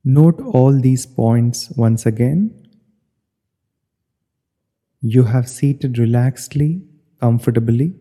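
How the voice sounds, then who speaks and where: A man with a very soft, dark tone leads a guided meditation. He speaks slowly in measured, instructional phrases with space between them. His voice carries a long, hall-like reverb. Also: medium pitch.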